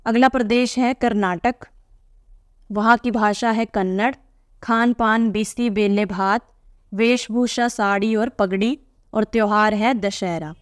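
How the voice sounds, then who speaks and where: A woman's voice: medium pitch, fairly even intonation, a little slow, no room sound, studio quality. A woman teacher reading aloud from a textbook and patiently explaining each answer to young pupils.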